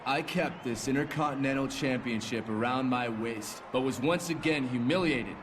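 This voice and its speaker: A man's voice: medium pitch, moderate pace, lightly acted. A man playing a determined, defiant rookie champion wrestler in a video game cutscene. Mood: earnest resolve. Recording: clearly noisy background ambience.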